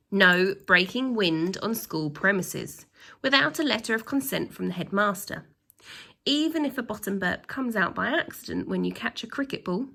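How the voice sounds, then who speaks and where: A woman voicing a pompous, tyrannical male headmaster reading out stern rules from a children's storybook, with emphatic, drawn-out delivery. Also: medium pitch, gravelly, bright, forceful tone, exaggerated voice. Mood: pompous, indignant. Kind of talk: children's storybook read-aloud.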